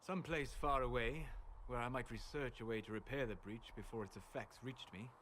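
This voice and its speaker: An actor playing a calm, scholarly mage in a video game, speaking in measured, formal, reflective phrases. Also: male voice, medium pitch, slight background noise, natural voice. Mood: grave, reflective. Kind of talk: scripted video game dialogue.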